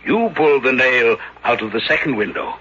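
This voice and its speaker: A dull vintage radio recording carries a brilliant, condescending detective. His voice is husky and swings widely in pitch. He reasons aloud in a deliberate, theatrical way, like someone correcting a slow pupil. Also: lively intonation, bright, forceful tone. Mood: condescending, instructive. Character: brilliant, condescending French male amateur detective, coolly logical. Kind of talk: old-time radio mystery acting.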